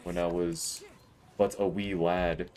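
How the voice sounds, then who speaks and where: A man in his twenties with a deep voice, chatting casually and jokingly while live-streaming a video game. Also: level tone, takes it slowly, a little mellow. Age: 20s. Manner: laid-back, joking, rambling.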